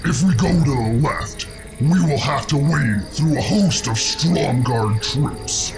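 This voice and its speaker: Sly, haughty ogre sorcerer, swooping delivery. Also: male voice, medium pitch, lively intonation, slow, gravelly. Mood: scheming, instructive. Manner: condescending, speaks of himself as "we". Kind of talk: theatrical fantasy game cutscene acting. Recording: loud music over the voice.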